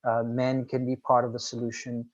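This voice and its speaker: A man whose first language is Portuguese, speaking as an executive director on a panel in an earnest, measured, formal way with frequent pauses. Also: medium pitch, completely flat delivery, lo-fi sound. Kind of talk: panel discussion by video call.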